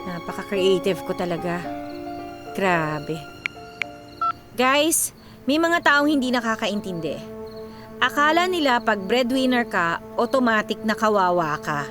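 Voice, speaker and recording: An actress playing an overworked young millennial daughter with a Filipino accent, theatrical and sarcastic in a comedic radio drama. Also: female voice, medium pitch, animated, unhurried, pauses often, close to an everyday voice. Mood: weary, dramatic. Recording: music behind the voice.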